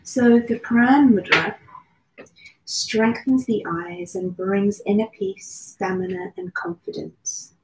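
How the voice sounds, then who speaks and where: A woman teaching meditation in a mellow, soft voice, slow and soothing with long pauses between phrases. The room sounds echoey and there is a faint hiss of background noise. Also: mid-range voice, fairly even intonation. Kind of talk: guided meditation.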